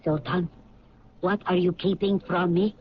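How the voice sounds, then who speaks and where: A woman's voice: lowish voice, little pitch movement, measured pace, lightly acted. A defiant, guarded woman played by an actress. She speaks formally, in clipped phrases. The sound is a dull, hissy 1970s radio drama recording. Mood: insistent. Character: guarded, defiant foreign woman, bereaved companion of murdered man.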